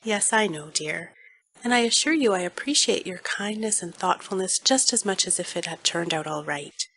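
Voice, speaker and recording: A woman playing a kindly, gentle minister's wife with a soothing manner in an audiobook dramatization. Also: on the low side, animated, moderate pace, low-quality recording. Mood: comforting. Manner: soothing, reassuring.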